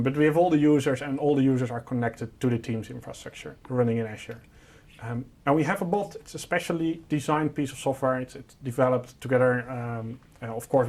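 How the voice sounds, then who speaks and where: A man's voice: mid-range voice, a little mellow. A man presenting a product, explaining a technical solution in an informal, step-by-step and simplified way.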